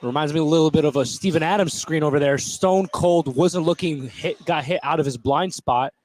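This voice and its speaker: Casual higher-voiced male color commentator. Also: fairly quick, a little mellow. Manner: casual, opinionated. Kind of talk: conversational live basketball color commentary. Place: indoor school basketball gym.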